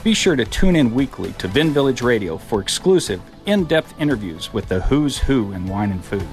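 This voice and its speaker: A man's voice: medium pitch, very animated, moderate pace, darkish tone. A man giving a scripted promo as a radio show host. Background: music bed.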